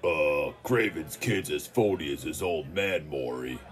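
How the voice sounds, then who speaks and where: A man's voice: rumbling low, expressive, unhurried, soft background music. A man playing a blunt, skeptical criminal henchman in a comic dub. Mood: dismissive. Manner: blunt, contradicting. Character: skeptical, surly male henchman thug.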